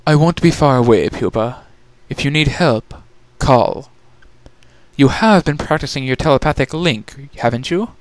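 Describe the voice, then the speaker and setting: A dutiful older sister creature in a fantasy tale, voiced by a man. She speaks a little above the narrator's pitch, in a measured and formal way. Her temper is gentle, protective and regretful. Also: expressive, no room sound, natural voice. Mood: reassuring.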